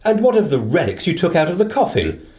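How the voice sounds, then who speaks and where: A man acting as an incisive gentleman detective in a radio play, authoritative and dryly precise. His intonation swoops widely at a slightly raised pitch, in an echoey room. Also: big pitch swings, a little fast. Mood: stern, probing. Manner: precise, formal, dry.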